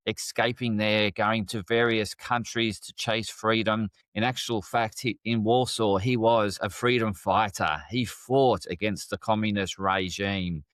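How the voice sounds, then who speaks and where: Enthusiastic podcast host, low voice. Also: male voice, a bit bright, no echo. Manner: enthusiastic, repeats phrases, uses fillers. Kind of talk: podcast interview introduction.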